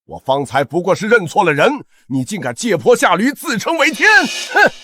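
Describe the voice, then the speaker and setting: An actor playing an indignant immortal servant who stammers and accuses. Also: male voice, high-pitched, wildly swooping pitch, normal speed, a bit bright, dry recording, studio quality, full character voice. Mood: accusing. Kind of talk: short-drama acting.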